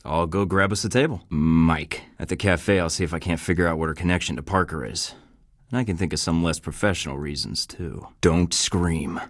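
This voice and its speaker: A deep-voiced, cocky American spy. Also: male voice, moderate pace, no echo, clean studio sound. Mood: flirty. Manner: wisecracking, flirty and menacing by turns. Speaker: game protagonist. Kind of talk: video game voice acting.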